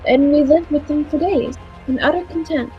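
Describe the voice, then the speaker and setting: A woman playing a gentle, contented time traveler who tells the tale in a measured, wistful way, in 1940s radio play style. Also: sits high, muffled recording, lightly acted. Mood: wistful narration. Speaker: amateur drama club actor. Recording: roomy echo with faint music.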